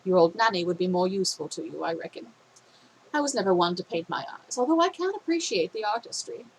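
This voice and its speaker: A phlegmy-voiced woman voicing a gentle, formal older male caretaker who speaks softly and fondly. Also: on the low side, expressive, fast talker, slight background noise, rough recording. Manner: soft-spoken, old-fashioned, fond.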